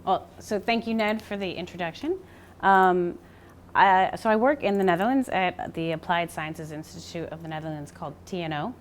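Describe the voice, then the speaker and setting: A woman's voice: lowish voice, moderate pace, a little hiss or hum. An American woman presenting a scientific seminar, explaining steadily with fillers and self-corrections. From United States. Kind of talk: academic presentation.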